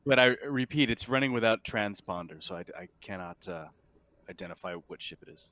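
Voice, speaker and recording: A man's voice: fairly low pitch, animated, a little fast, sharp tone, no room sound. A man running a tabletop roleplaying game, casually narrating and answering as game master.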